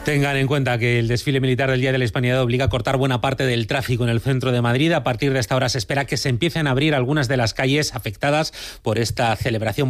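A man from anchoring a radio news bulletin in a measured, formal read. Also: medium pitch, no room sound, studio quality. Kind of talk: scripted news reading. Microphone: studio microphone.

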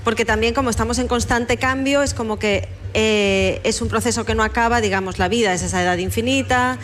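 A woman's voice: on the high side, brisk, soft background music. A woman novelist talking about her book in a casual radio interview, reflective and explanatory, often ending ideas with a questioning tag. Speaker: novelist guest.

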